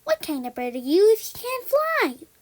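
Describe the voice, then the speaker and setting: A woman's voice: very high voice, huge pitch swings, normal speed, pitched above the performer's own voice, full character voice. A woman voicing a small, perky, argumentative bird with a chirping delivery in a children's story. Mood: mocking.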